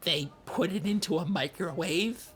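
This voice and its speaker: A performer playing a needy, wounded, childlike podcast host, talking in a halting, earnest, hesitant way in improvised comedy. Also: mid-range voice, fairly bright tone, natural voice. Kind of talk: improvised deadpan comedy talk. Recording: noticeable background noise, lobby ambience.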